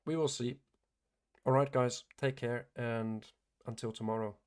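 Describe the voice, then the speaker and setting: A man running a live day-trading stream, giving matter-of-fact commentary, hoarse as he starts to lose his voice, and clearing his throat often. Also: mid-range voice, fairly even intonation, measured pace, a little mellow. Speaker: day trader hosting a livestream.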